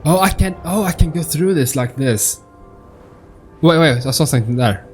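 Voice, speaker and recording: A man's voice: on the high side, expressive, moderate pace. Man casually thinking aloud over game music. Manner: thinks aloud, repeats himself. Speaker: gamer commentating on a game playthrough. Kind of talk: casual off-the-cuff gameplay commentary.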